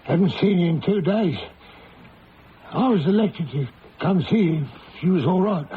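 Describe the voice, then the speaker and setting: Superstitious rustic innkeeper for radio mystery: highish voice, unhurried, foreboding, muffled old broadcast. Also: male voice, expressive. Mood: hesitant, friendly. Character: superstitious village tavern keeper, ominous and folksy. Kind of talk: radio drama acting. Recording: dull lo-fi old radio, faint noise.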